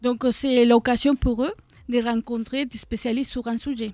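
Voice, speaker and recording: A woman's voice: a little high, little pitch movement, moderate pace, a little mellow, dry recording. A middle-aged woman from Mexico with a Mexican Spanish accent, a guest in a live radio studio interview, talking hesitantly with many fillers. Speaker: guest, association co-founder.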